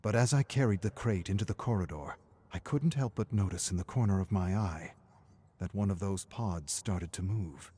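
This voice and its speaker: A man voicing a weary survivor scientist recording game audio logs, measured and grave, his phrases trailing off into a hush. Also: low-pitched, husky. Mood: shaken, grim. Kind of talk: scripted dramatic audio log narration.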